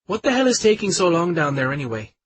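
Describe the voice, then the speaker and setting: A man voicing a brisk, ambitious female executive colleague who talks in a pushy, demanding way. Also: on the low side, very animated, slight background noise, pitched above the performer's own voice. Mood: suspicious.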